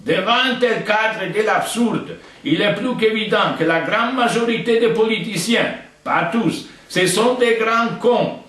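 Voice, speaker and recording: A man's voice: high-pitched, a little slow, few pauses, a bit bright. An older man who grew up speaking Italian, with an Italian accent, bluntly and indignantly reading out an open letter. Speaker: video letter writer. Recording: echoey, distant from the mic.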